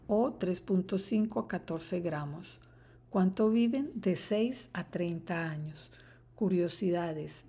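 Slow, careful woman reading aloud. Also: fairly low pitch, dark tone, a little hiss or hum. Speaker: third-grade teacher. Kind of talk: reading a picture book aloud.

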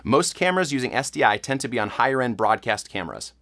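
A man in his thirties giving a clear, methodical scripted tutorial to the camera in a studio. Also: medium pitch, big pitch swings, fast talker, fairly bright tone. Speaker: church tech instructor. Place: studio in front of a blue backdrop.

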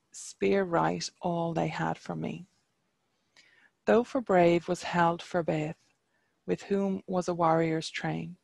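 A reader giving voice to a defiant young warrior hero from old legend, declaiming archaic verse with a deeply gravelly, creaky edge. The delivery is slow and measured, and the sound is close and dry with no room around it. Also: darkish tone, no echo, exaggerated voice. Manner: archaic verse read aloud, chant-like.

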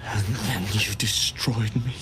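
An actor playing a betrayed young man held prisoner in a video game, hushed, halting and accusing. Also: lowish voice, steady tone, very noisy. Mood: hurt, accusing. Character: betrayed, wounded young male prisoner, bitter and haunted.

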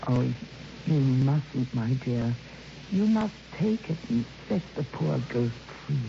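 A kindly middle-aged woman in an old radio play. Her voice is very low and mellow, moving expressively as she earnestly counsels. The sound is muffled, with faint noise. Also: expressive, takes it slowly, vocal fry, full character voice. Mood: earnest, insistent. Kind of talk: old radio drama acting.

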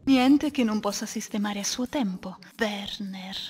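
A woman's voice: unhurried, darkish tone, husky. A woman playing a cool, determined adventurous archaeologist in a fan-dubbed game cutscene, with a dry, defiant manner. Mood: cool, sarcastic. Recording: low-quality amateur dub, faint noise.

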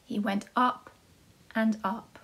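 A woman storyteller reading a tale for nursery children. Her voice is fairly high and a little husky, and she goes slowly, with simple and deliberate phrasing. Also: steady tone, fairly bright tone. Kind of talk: scripted children's story narration.

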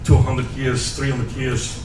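A man's voice: mid-range voice, completely flat delivery, takes it slowly, a little mellow. A man from the Netherlands, a native Dutch speaker, asking a string of questions from the audience in a lecture hall. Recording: roomy hall audio from far off, clear background noise.

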